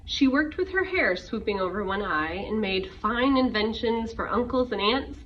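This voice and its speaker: A woman reading a rhyming picture book aloud to young children in an expressive storytelling way. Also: a little high, moderate pace. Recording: muffled, noisy, distant microphone.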